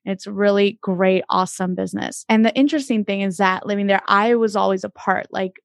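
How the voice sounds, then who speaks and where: A woman's voice: mid-range voice, no room sound. Woman, 30s, from the Dominican Republic, chatty interview guest, dry close sound. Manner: warm and chatty, with many fillers. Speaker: podcast guest.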